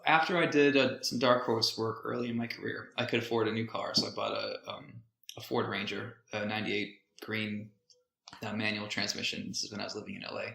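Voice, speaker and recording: A man co-hosting a podcast, telling stories casually in an animated, jokey, sometimes profane way. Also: on the low side, brisk, creaky. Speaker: podcast co-host. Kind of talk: casual conversational storytelling. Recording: roomy echo.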